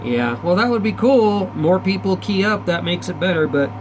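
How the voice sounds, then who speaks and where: Male CB hobbyist, slangy and rambling, slightly high pitch, casual chat, noticeably noisy recording. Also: lively intonation, normal speed. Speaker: CB radio operator. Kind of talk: casual chat over CB radio. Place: back-room radio shack.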